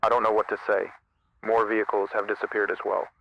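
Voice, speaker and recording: A man's voice: lowish voice, level tone, fast, creaky, fairly bright tone, lo-fi sound. A man playing a shaken field reporter in a disaster radio drama, somber and plain-spoken over a radio link. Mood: shaken, bleak. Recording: noisy outdoor radio link.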